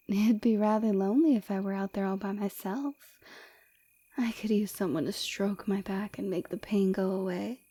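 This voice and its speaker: A woman playing a flirty, forward villain and rival warrior in an ASMR roleplay, teasing and coy with a breathy voice, close to the microphone. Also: mid-range voice, dark tone. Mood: candid, inviting, then seductive, breathy. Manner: teasing, coy, seductive, often dropping to breathy whispers.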